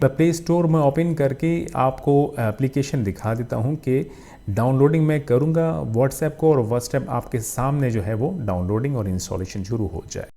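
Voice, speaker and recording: A man's voice: medium pitch, mellow. A man with a Pakistani accent narrating a casual phone review as a channel host, often using filler phrases and mixing in English tech terms.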